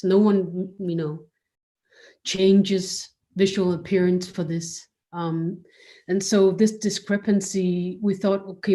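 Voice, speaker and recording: Female curator as interview guest: unhurried, reflective, searching for words, relaxed lowish voice. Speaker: curator and art space director, guest.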